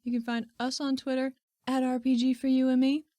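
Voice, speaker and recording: A woman's voice: high-pitched, little pitch movement, brisk, mellow, no room sound, clean studio sound. A woman chatting casually as a podcast co-host.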